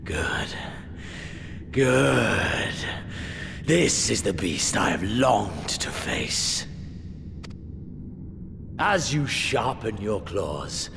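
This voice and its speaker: A grandiloquent warlord villain from a video game, hungry for the hunt and aristocratic in bearing. He speaks very slowly and theatrically, savouring each phrase, with wide dramatic swings in pitch. Noise and music sit behind the voice. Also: gravelly, full character voice.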